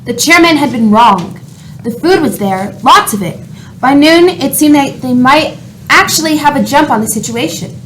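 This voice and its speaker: A woman's voice: high-pitched, lively intonation, normal speed, fairly bright tone, slight background noise, rough recording. A woman reading scripted, dramatic narration as a storyteller in a radio play.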